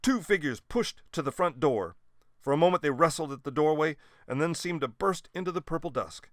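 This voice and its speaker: Expressive man reading a children's story, very lively. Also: a little high, brisk, dry recording, studio quality. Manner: expressive, dramatic emphasis. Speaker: storybook reader. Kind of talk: scripted children's story narration.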